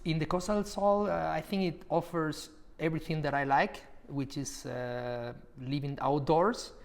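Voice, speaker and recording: A young man from Málaga with a Spanish accent, answering interview questions in English with thoughtful, hesitant pauses. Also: a little high, vocal fry. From Málaga, Spain. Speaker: property specialist at an agency.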